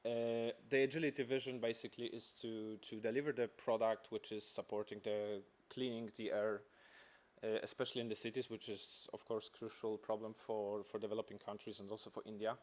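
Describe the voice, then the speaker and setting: A man's voice: fairly low pitch, flat delivery, a little fast, darkish tone. Polish-accented man giving a corporate presentation. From Poland. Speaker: company representative presenting.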